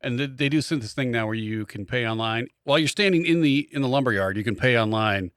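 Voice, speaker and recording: A man's voice: medium pitch, fast talker, fairly bright tone, smooth, dry recording, clean studio sound. A man telling a casual comic anecdote, wry and exasperated, acting out both sides of conversations. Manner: exasperated, acts out dialogue in anecdotes. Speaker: podcast co-host.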